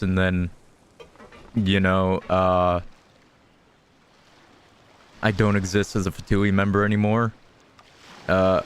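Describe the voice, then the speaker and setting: A man's voice: low voice, slight background noise. A young man giving casual, laid-back gaming commentary with joking, profane asides. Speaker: streamer.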